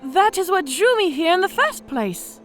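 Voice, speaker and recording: A battle-scarred orc woman with a high, lively voice that rises and dips as she spins war tales. She speaks with theatrical, measured weight in a fantasy audio drama, over faint music. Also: exaggerated voice. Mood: musing. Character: battle-scarred female orc veteran officer, worldly storyteller. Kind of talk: audio drama character acting.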